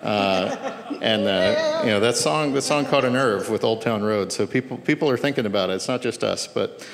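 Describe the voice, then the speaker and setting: Gravelly-voiced man moderating a church panel. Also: on the low side, big-room sound. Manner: halting, repeats words while thinking.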